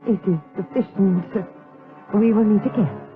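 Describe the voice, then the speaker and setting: A woman's voice: mid-range voice, normal speed, close to an everyday voice. Woman acting talkative older aunt, fussy, mannered period speech, gothic drama, thin radio-like audio, music. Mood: coy, gracious. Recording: muffled radio-like sound with music behind.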